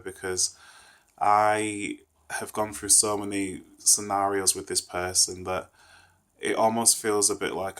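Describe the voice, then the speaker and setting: Low, flat-voiced man with a stuffy nose. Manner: thoughtful, rambling, earnest. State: congested, nasal from a cold. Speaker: vlogger. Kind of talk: casual reflective rant to camera.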